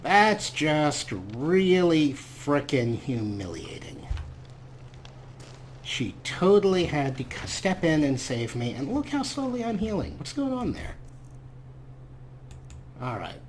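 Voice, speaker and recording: Man, casual game commentary, wry and self-deprecating, unhurried, animated intonation, faint noise behind. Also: medium pitch. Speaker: let's play host.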